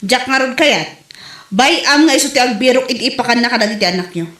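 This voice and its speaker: A woman's voice: on the high side, expressive, fast, a bit bright. A woman playing a demanding, possessive young mistress in an Ilocano comedy audio drama. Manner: petulant, possessive. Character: demanding, spiteful younger mistress of a married man. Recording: roomy, music underneath.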